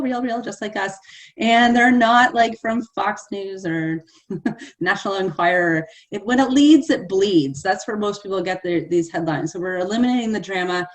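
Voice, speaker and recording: An enthusiastic woman hosting a live panel, chatty and full of "like" and "you know". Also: medium pitch. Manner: enthusiastic, chatty, many fillers. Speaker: host.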